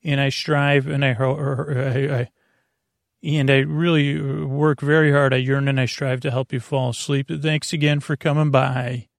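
A man hosting a bedtime podcast in a meandering monologue, halting and digressive, often trailing off and restarting. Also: medium pitch, level tone, dry recording, studio quality. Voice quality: creaky, gravelly. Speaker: podcast host.

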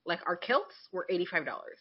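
A woman's voice: on the low side, expressive, a little fast, slightly rough, muffled recording. A woman co-hosting a casual chat show, talking in a candid, sarcastic way with casual swearing. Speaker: podcast co-host.

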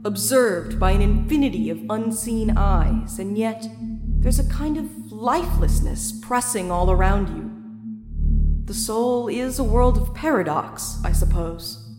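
A woman's voice: mid-range voice, animated, slow. Reverberant hall, woman voicing sinister female meditation guide, falsely sympathetic, patronizing, formal, coldly predatory, audio drama, prominent music. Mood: coaxing, patronizing. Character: sinister female self-help guide spirit, soothing, condescending, coldly predatory. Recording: echoey reverberant hall effect, music underneath.

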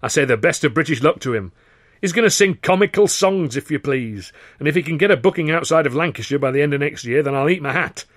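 A man's voice: on the high side, animated, fast, no echo, clean studio sound, pitched above the performer's own voice. A man voicing a pompous, scornful boss of a comedy company in an audiobook, talking in emphatic colloquial bursts. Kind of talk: audiobook character voice.

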